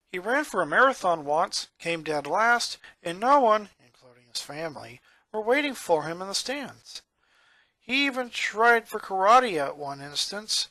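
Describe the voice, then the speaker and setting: A narrator reading a scripted story aloud in an expressive, dramatic storytelling manner. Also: mid-range voice, no echo, low-quality recording.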